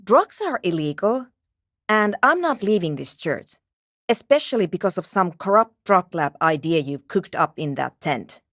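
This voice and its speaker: A woman's voice: on the low side, sing-song, normal speed, a bit bright, no echo. An actress playing a curt, skeptical, world-weary woman programmer in a video game, speaking in a brusque, dry, dismissive way. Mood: firm, disapproving. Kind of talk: video game character dialogue.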